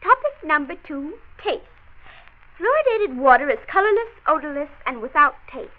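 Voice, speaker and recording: A woman's voice: high voice, big pitch swings, moderate pace, phone-quality sound. A woman playing a precocious twelve-year-old granddaughter in a 1940s radio drama, pert and argumentative, reciting facts formally like a debater. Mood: confident, reciting. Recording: band-limited old 1940s radio recording.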